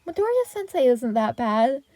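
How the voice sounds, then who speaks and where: A woman's voice: high voice, big pitch swings, moderate pace, warm tone, no room sound, pitched above the performer's own voice. Woman as a soothing female ghost. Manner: comforting, casual.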